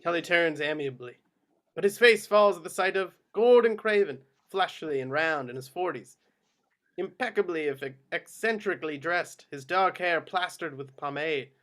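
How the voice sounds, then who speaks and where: Higher-voiced man reading stage directions. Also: moderate pace, a bit bright. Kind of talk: scripted narration at a table read.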